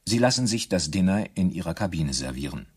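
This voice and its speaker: A man narrating a German radio drama in a measured, matter-of-fact, scripted style. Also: deep voice, animated, slightly rough. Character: sober, knowing male documentary-style narrator.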